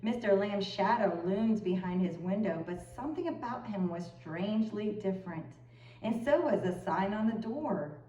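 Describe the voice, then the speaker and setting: A woman storyteller reading a children's picture book aloud in an expressive narrating style. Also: mid-range voice, normal speed. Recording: roomy echo, far from the mic.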